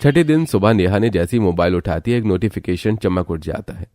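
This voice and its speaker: Deep, mellow male storyteller. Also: expressive, a little fast. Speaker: narrator. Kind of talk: scripted story narration.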